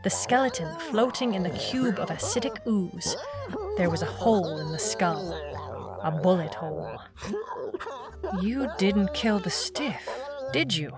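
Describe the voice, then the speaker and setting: Tough lady noir detective, wry and tired, slow delivery, music underneath. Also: medium pitch, animated. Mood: wry, world-weary. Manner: wry, world-weary. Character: wry, tough, amnesiac female private eye. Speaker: lead narrator. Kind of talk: hardboiled noir audio drama narration.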